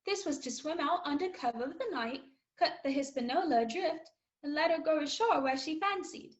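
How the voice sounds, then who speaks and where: A woman voices a plucky young boy recounting his sea adventure in a radio drama. The voice is fairly high, and the storytelling is measured and literary. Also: expressive, muffled recording. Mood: proud, earnest. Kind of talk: scripted storytelling narration in a radio play.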